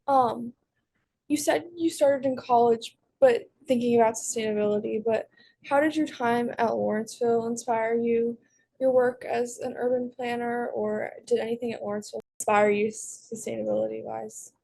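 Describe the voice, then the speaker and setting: Hesitant teenage girl with vocal fry. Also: a little high, steady tone, normal speed, mellow. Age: teens. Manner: hesitant, lots of um, trails off. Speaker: student interviewer. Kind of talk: interview questions.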